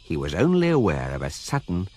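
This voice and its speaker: An actor as a wry, authoritative guidebook narrator in a radio comedy, measured and deadpan. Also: male voice, low-pitched, heavily acted.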